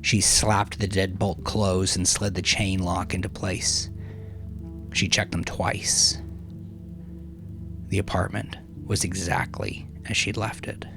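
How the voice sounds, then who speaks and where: A man narrating dramatic fiction from a script in a measured, solemn manner, with hushed phrase endings. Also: sits low, flat delivery. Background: music.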